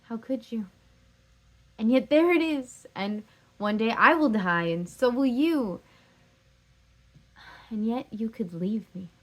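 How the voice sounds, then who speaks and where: A woman's voice: fairly high pitch, expressive, slow, long pauses. A woman performing a stage drama role as a heartbroken, insistent jilted lover, pleading emphatically and repeating herself. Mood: anguished, accusing. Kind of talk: stage drama scene and monologue.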